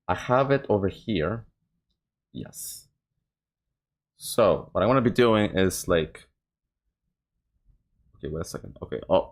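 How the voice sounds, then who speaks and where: A man hosting a casual, improvised livestream, talking in an informal, rambling and slightly scattered way. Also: on the low side. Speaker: live streamer and host.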